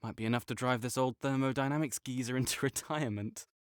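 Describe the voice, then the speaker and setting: A man's voice: mid-range voice, fairly even intonation, fast talker, clear, resonant tone, no room sound, clean studio sound, close to an everyday voice. A young man voicing a competitive male student rival who teases and bosses, then turns flustered and defensive. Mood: teasing. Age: young adult. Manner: teasing and bossy, gets flustered and defensive. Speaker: voice actor in an audio roleplay. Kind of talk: audio roleplay acting.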